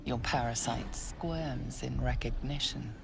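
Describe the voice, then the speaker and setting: A woman playing the ominous narrator of a dark fantasy game, speaking in a measured, hushed way. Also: rumbling low, creaky, over music, exaggerated voice, rough recording.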